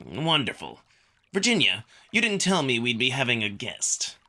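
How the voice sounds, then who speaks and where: A man's voice: mid-range voice, huge pitch swings, normal speed, bright, forceful tone, exaggerated voice. A man voicing a haughty, irritable alien wolf prince in a visual novel, curt and dismissive. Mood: stiffly polite.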